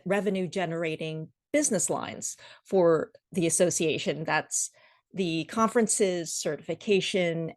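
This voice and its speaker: A middle-aged woman with an American accent, giving measured, articulate answers as a guest in a conversational interview. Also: on the low side. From Washington, D.C. area, United States. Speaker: podcast guest, association executive.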